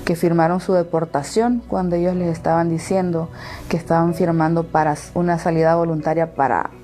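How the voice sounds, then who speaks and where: Mellow, low-pitched Salvadoran woman. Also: flat delivery, fairly quick. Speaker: interviewee telling her own story. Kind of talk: personal testimony in an interview.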